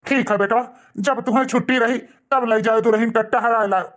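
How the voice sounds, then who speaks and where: Guy doing sweet old awadhi dad in a cartoon, gentle, fatherly. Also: very high-pitched, fairly even intonation, moderate pace. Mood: tender, grateful. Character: affectionate elderly father, gentle and grateful. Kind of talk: cartoon dialogue.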